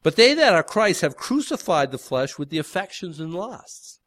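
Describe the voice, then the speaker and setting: Folksy preacher with a big voice. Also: male voice, a little high, very animated, moderate pace, a bit bright. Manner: folksy, rambling, with frequent fillers and restarts. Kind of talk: informal sermon.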